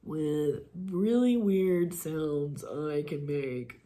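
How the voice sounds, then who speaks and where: A woman's voice: sits low, extremely slow, mellow. A woman in her forties with a stuffy nose from a cold, hosting a laughter session from her living room, laughing through her words. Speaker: laughter yoga host. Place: sunny living room at home.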